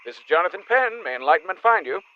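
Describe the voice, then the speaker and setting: An actor playing a serene New Age company boss in an audio comedy, airy and placid, over a phone filter. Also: male voice, sits high, sing-song, quick pace, heavy background noise. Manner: airy, placid, pseudo-spiritual. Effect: phone call filter. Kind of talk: comedic audio drama acting. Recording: dull phone-call sound.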